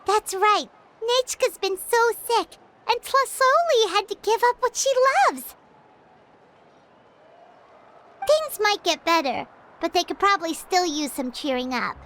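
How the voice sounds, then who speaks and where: Chirpy childlike fairy sidekick, extremely high voice, animated swoops, eager, video game dub. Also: female voice, normal speed, slight background noise, heavily acted. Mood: concerned. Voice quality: squeaky, childlike. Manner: talks of herself in third person, exclamatory. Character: Paimon, tiny childlike female fairy companion, chirpy and eager. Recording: music behind the voice.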